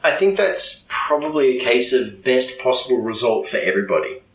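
A man's voice: medium pitch, expressive, normal speed, recorded from a distance. A man hosting a casual chat, talking loosely and poking fun at himself, with plenty of fillers. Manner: loose, self-deprecating, lots of fillers. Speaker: podcast host. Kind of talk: casual conversation. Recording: echoey room, away from the mic.

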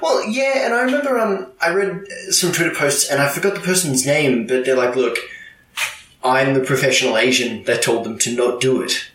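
A man's voice: medium pitch, normal speed, a bit bright, recorded from a distance. A man hosting a casual chat, talking loosely and poking fun at himself, with plenty of fillers. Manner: loose, self-deprecating, lots of fillers. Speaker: podcast host. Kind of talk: casual conversation. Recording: echoey room, away from the mic.

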